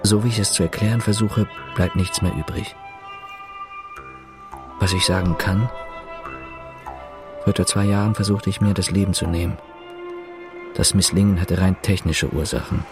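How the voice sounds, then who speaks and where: A man's voice: deep voice, steady tone, over music, lightly acted. An actor in a German radio play, playing a brooding, bitter prisoner who denies who he is. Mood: brooding, confessional. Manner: measured, reflective narration breaking into furious shouting. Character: prisoner denying his identity, brooding, bitter, given to outbursts. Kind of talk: German radio play, first-person narration and acted dialogue.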